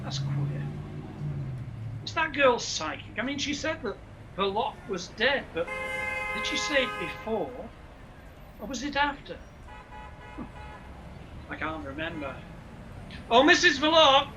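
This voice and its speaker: A man playing a brisk, commanding Scotland Yard inspector in a live radio play. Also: very high voice, very animated, sharp tone, slight background noise, full character voice, low-quality recording. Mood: puzzled, musing, then calling, wry.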